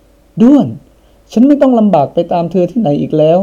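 Guy doing a high weepy happy young woman, breathless, muffled old recording. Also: sing-song, extremely slow, warm tone, exaggerated voice. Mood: overjoyed. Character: young woman hunter, overjoyed and tearful. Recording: dull lo-fi recording.

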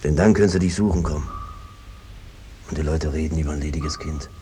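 An actor playing an evasive, henpecked peasant brother who talks around things in a cajoling, hesitant way, in a 1950s radio drama style. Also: male voice, extremely low pitch, fairly quick, dark tone. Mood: evasive, uneasy. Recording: noisy old 1950s radio recording.

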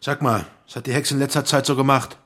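An actor playing a menacing small-time crook and bully in an audio drama, curt, coarse and commanding. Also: male voice, medium pitch, fairly even intonation, fast talker, a bit bright, close to an everyday voice. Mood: swaggering.

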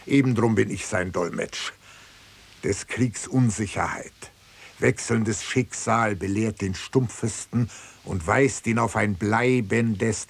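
An actor playing a pompous, greedy old priest in a classical comedy radio play, declaiming with grand rhetoric. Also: male voice, lowish voice, creaky, darkish tone, breathy edge, exaggerated voice. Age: older.

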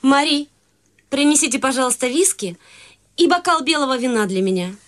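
A woman's voice: high voice, animated, fairly quick, a bit bright. A fussy society mother. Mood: bossy. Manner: fussy, bossy and theatrical. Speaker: radio play actress. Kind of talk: radio play acting.

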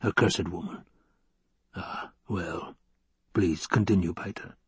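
A male narrator plays an older villain baron for an audiobook. He is domineering and indulgent, drawing out his words in a slow, menacing growl. The sound is clean and close, with very little room. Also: low-pitched, steady tone, slightly rough, no echo, voice pushed down, heavily acted. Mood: weary, gloating. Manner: slow, drawling, growling command. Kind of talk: audiobook character acting.

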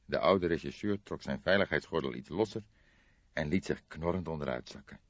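A man's voice: very deep voice, fairly quick, no room sound, muffled recording. A man reading a detective novel aloud as scripted audiobook narration.

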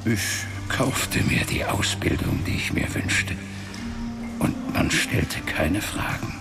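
An actor playing a grave, ancient warrior-mage father in a radio play, speaking solemnly and with measure in a breathy, gravelly voice. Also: sits low, very animated, slow, full character voice. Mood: proud, reminiscing. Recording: music under the voice.

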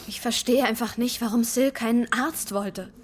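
An actress playing an anxious, conscientious young pregnant woman in a radio crime drama, breathy and often near a whisper. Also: fairly high pitch, steady tone, normal speed, a little hiss or hum, lightly acted. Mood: anxious, disapproving. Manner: worried, hesitant.

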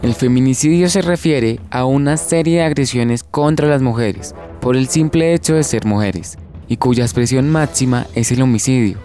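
A man's voice: mid-range voice, normal speed, mellow. A man with a Colombian accent reading a scripted, informative radio narration. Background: faint music.

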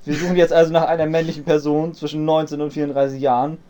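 A man's voice: on the high side, little pitch movement, fast, dark tone, clear, resonant tone, close to an everyday voice. A man playing an eager teenage boy detective who does the research in a parody radio play. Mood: deadpan.

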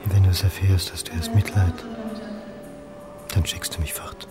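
Anxious low-voiced academic played by a man over music. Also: flat delivery, moderate pace, close to an everyday voice. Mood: melancholy, longing. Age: 40s. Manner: introspective, self-reproaching. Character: anxious, self-doubting history lecturer, paranoid and lovesick. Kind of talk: radio drama inner monologue and dialogue.

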